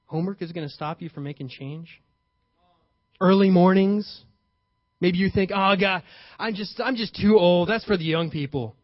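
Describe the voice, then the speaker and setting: A young adult man preaching a sermon, lively and playful, acting out paraphrased dialogue with sudden emphasis. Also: high-pitched, no echo. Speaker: pastor. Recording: dull, slightly lo-fi, dry sound.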